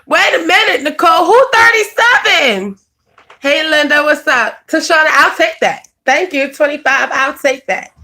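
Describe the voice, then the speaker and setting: A woman's voice: sits high, moderate pace, sharp tone. A woman, chatting casually on a live stream, answering viewers by name and laughing often. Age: 20s. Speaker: vlogger reading live chat.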